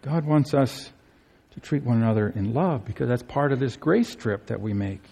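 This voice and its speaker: A man of medium pitch preaching a heartfelt sermon in a church. He speaks earnestly and with emphasis, his voice rising sharply on the words that matter most. A long hall reverb trails behind every phrase. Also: lively intonation, moderate pace, creaky, dark tone, breathy edge. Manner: earnest, emphatic, voice climbs high on key phrases. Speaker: pastor.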